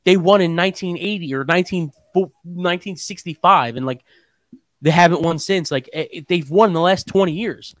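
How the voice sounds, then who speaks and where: A man's voice: high-pitched, a little fast, a bit bright, dry recording, clean studio sound. A young American man co-hosting a sports podcast in casual chat, often repeating himself for emphasis.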